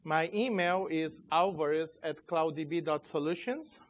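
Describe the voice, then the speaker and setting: Man in his 40s, a bit high-pitched, informal conference presenter. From Chile, raised in Brazil. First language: Portuguese. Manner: informal, halting, loose grammar. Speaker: conference speaker, company CEO. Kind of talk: tech conference talk. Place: conference room.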